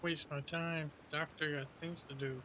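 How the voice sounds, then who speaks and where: Higher-pitched man casually playing a game. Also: level tone, normal speed, background noise. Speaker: game streamer. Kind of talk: casual gameplay commentary.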